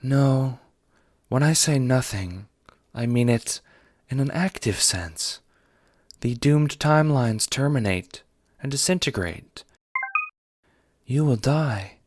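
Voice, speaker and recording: A man voicing a blunt female alien troll time traveler in an audio drama, speaking formally and with measured care. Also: medium pitch, animated, noisy background. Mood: blunt, solemn. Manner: formal, measured, few contractions.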